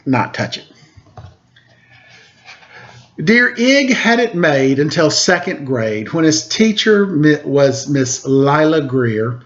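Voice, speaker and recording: Expressive male storyteller, slightly high voice, dull audio. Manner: expressive, sing-song reading of rhymed verse. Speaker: storyteller reading a picture book to children. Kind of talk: read-aloud with chatty asides. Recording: dull lo-fi sound.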